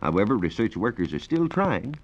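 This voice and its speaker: A man explaining science in a measured, precise way as a reporter on a 1940s radio program. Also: fairly low pitch, very animated. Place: radio studio. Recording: dull, noisy 1940s radio recording.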